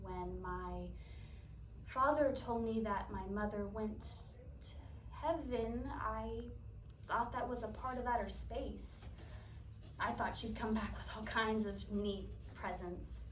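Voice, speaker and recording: A woman performing a wistful, grieving daughter in a dramatic monologue, speaking in a subdued, confessional, halting way. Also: fairly high pitch, steady tone. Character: wistful woman recalling childhood grief for her astronaut mother. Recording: echoey room, distant mic, background noise.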